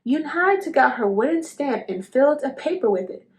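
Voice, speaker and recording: A woman reading a children's picture book aloud as an expressive narrator. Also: high voice, moderate pace.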